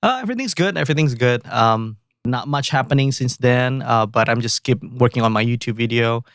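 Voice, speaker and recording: A man with an Indonesian accent, speaking in a medium pitch as a guest on an interview podcast. He talks haltingly, with frequent fillers and self-corrections. The recording is dry and close. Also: fairly bright tone, no room sound. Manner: halting, many fillers and restarts. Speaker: guest composer. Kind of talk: casual interview chat.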